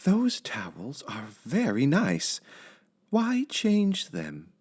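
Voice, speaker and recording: A man's voice: fairly high pitch, huge pitch swings, a little mellow, breathy edge, pitched above the performer's own voice, exaggerated voice. A man voicing a cheerful, naive woman housekeeper in a children's storybook, earnest and literal-minded, musing aloud in a folksy way. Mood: puzzled. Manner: slow, exclaiming, folksy musing.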